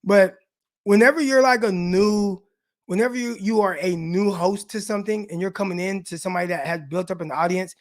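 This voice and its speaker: A man with a raspy voice hosting a casual live sports show, talking loosely and with slang straight to his viewers. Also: sits high, fairly quick, no room sound, studio quality. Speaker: sports podcast host.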